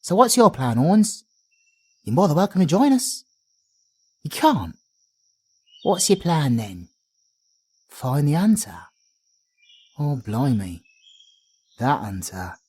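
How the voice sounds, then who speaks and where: A man's voice: fairly high pitch, huge pitch swings, slow, pauses often, a little mellow, husky, full character voice. A British man voicing a cheerful, chatty male bard in an audio roleplay, doting and slangy as he talks. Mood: grave.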